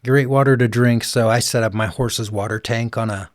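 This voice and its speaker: A man with a quiet voice, telling stories in a relaxed, rambling way as a guest in an interview. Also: medium pitch, normal speed, no echo, studio quality. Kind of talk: casual interview conversation.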